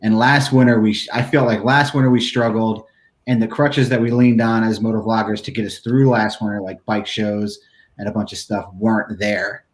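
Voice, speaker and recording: Low-voiced man teasing his co-hosts. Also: little pitch movement, normal speed. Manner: teasing, easygoing, steers the talk. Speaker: co-host of a motorcycle channel. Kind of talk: casual livestream chat.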